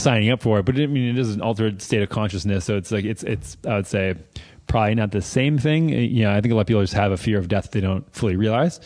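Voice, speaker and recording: Low-voiced casual male podcast host. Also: darkish tone. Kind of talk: casual interview chat.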